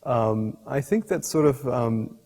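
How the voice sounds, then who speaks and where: A middle-aged man, a scientist explaining ideas in an interview in a thoughtful, halting way, often rephrasing mid-sentence. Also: medium pitch, expressive, mellow. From Portugal. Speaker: scientist and professor being interviewed. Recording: reverberant hall-like room echo.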